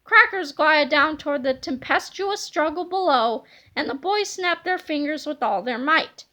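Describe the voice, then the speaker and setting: A woman reading a children's storybook aloud in an expressive narrating style. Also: sits high, normal speed. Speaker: storybook reader. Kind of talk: animated read-aloud of a children's book.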